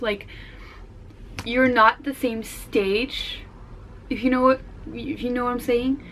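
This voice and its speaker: A woman's voice: medium pitch, slight background noise, poor audio. A Dutch teenage girl with a Dutch accent, answering viewer questions in a casual vlog, frank and blunt, swearing casually. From Netherlands. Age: teens. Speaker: vlogger.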